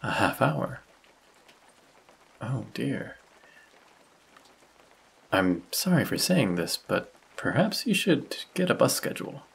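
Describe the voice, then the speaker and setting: A man playing a kindly, courteous vampire in an intimate audio roleplay, speaking softly and solicitously to the listener with a gentle teasing touch. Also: mid-range voice, sing-song, slow pace, creaky, pauses often, slightly rough, exaggerated voice. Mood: gentle concern. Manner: soft-spoken, solicitous, politely old-fashioned. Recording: close voice with rain sound effects. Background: rain sound effects.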